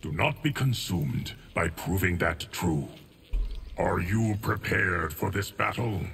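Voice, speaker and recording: Low male voice, solemn time keeper, unhurried, grave, formal, game dialogue. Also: lively intonation, creaky, fairly bright tone, a little hiss or hum, heavily acted. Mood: stoic. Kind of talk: video game fight intro dialogue.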